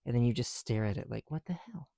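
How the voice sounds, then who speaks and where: Creaky, mellow man doing soft ASMR chat. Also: mid-range voice, lively intonation, quick pace, dry recording, studio quality. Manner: soft-spoken, often dropping into whispers. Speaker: ASMR video creator. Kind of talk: casual ASMR chat while building bricks.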